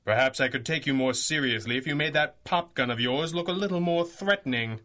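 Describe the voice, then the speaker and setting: An actor playing a cool, wry masked vigilante in a 1930s-style radio adventure serial, speaking with dry, formal sarcasm. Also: male voice, fairly high pitch, a little fast, bright, forceful tone. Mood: sardonic, defiant.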